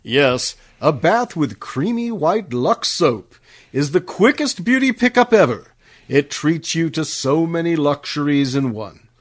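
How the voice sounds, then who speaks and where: An actor playing a flattering soap-ad announcer, effusive and emphatic in 1950s radio commercial style. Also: male voice, on the high side, unhurried. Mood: salesy, upbeat.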